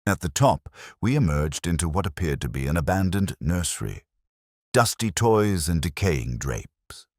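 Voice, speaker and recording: A man's voice: low-pitched, very animated, moderate pace, a little mellow, no echo, studio quality. A man narrating a Victorian detective story in a scripted, literary audiobook style.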